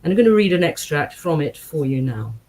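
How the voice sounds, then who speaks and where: A woman reading aloud to a congregation in an expressive style, with measured, deliberate phrasing and emphatic pauses. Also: low voice. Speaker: guest reader at a synagogue service. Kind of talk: expressive book reading with short spoken introduction.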